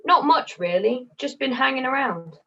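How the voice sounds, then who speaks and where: A woman's voice: sits high, lively intonation, moderate pace, fairly bright tone, exaggerated voice. A young woman voicing a put-upon, droll fluffy puppet in an amateur play over a video call. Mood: droll grumbling. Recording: muffled video call audio.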